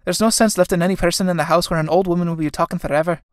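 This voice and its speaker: A woman's voice: on the low side, fairly even intonation, rapid-fire, dry recording, studio quality, pitched above the performer's own voice, close to an everyday voice. A woman playing an anxious, scolding young Irish elder sister in a stage drama, speaking in an earnest, urgent way. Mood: scolding, distressed.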